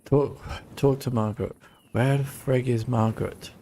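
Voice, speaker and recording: A man's voice: medium pitch, fairly even intonation, normal speed, creaky, mellow, a little hiss or hum. A man giving casual playthrough commentary as a game streamer, muttering and grumbling at the game.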